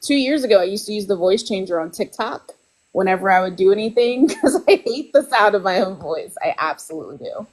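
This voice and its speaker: A woman's voice: mid-range voice, expressive, a little fast, low-quality recording. A woman guest author in casual podcast chat, talking loosely with lots of fillers and frequent laughs. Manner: chatty, laughs often, lots of "like" and "you know".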